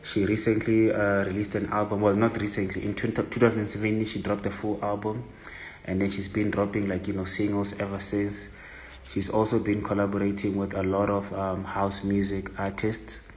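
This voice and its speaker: A man's voice: on the low side, completely flat delivery, moderate pace, a little hiss or hum. A South African man hosting a casual online interview, talking loosely with lots of fillers. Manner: loose, filler-heavy, friendly. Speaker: host.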